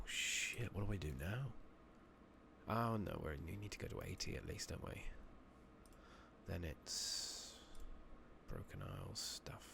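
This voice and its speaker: A man giving soft-spoken, partly whispered ASMR commentary on a game, muttering and thinking out loud, recorded close on a makeshift mic. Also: deep voice, creaky. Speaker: game streamer narrating a playthrough. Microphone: close makeshift microphone. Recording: noisy makeshift mic with clicking.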